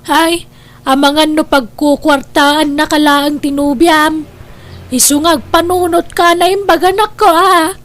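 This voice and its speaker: A woman's voice: high voice, steady tone, unhurried, fairly bright tone. A woman with an Ilocano accent playing an older, scheming mother in an audio drama, insistent and scolding with her grown children. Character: scheming, nagging older mother, possessive of son's money.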